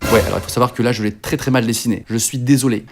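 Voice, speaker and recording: A man's voice: medium pitch, fairly even intonation, rapid-fire, noisy background. A young man in his twenties from northern France, telling his life story as a jokey, self-mocking voice-over. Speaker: video creator. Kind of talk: comic autobiographical voice-over. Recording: voice-over with music behind.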